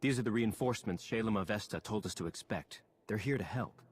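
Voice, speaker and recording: An actor playing a dutiful, earnest aide in a video game, reporting in a factual, deferential way. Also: male voice, lowish voice, brisk, a little hiss or hum, lightly acted. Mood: matter-of-fact. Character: dutiful, matter-of-fact male mayor's aide. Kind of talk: video game character acting.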